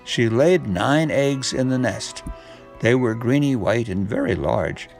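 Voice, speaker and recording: A man narrating a children's storybook from a script, with deliberate, expressive phrasing. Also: mid-range voice, gravelly, soft background music.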